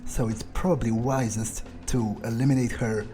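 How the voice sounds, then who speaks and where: A man playing a cold, vengeful vampire obsessed with his authority, improvising deliberately and wryly in character for a game playthrough. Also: medium pitch, steady tone, warm tone, faint music behind, close to an everyday voice. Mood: cold, scheming. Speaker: gameplay roleplayer.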